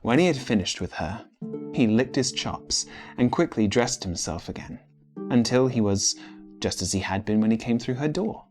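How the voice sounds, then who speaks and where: Man narrating a dark tale theatrically. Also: mid-range voice, lively intonation, moderate pace, faint music behind. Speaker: storyteller. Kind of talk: dramatic literary narration.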